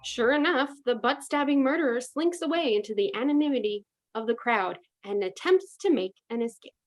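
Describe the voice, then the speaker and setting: A woman's voice: fairly high pitch, animated, fairly quick, low-quality recording. A woman comedian narrating with wry, mock-reasonable commentary.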